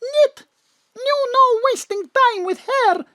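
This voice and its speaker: A woman's voice: very high-pitched, animated, slow, pushed and bright, no echo, clean studio sound, exaggerated voice. A woman playing a scheming female Russian agent with a heavy Russian accent and broken English. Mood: menacing. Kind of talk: audio drama acting.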